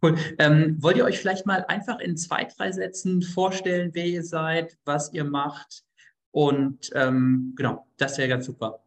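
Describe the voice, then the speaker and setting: Man, podcast host, somewhat higher pitch, casual interviewing, informal phrasing. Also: fairly even intonation, a little slow.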